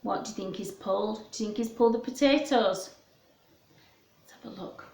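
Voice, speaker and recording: A woman storyteller reading expressively to young children, with gently questioning asides, in an echoey room. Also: medium pitch, normal speed, gravelly. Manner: animated, gently questioning, addressing small children.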